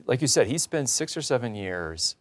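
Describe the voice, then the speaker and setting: A man's voice: mid-range voice, big pitch swings, normal speed. A man hosting a religious talk show, interviewing in an earnest, reflective, conversational way.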